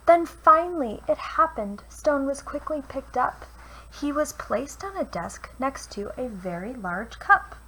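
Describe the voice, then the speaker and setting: Bright high-voiced woman reading a storybook. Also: animated, a little slow. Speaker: teacher reading a picture book aloud. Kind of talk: children's storybook read-aloud. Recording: noisy home recording.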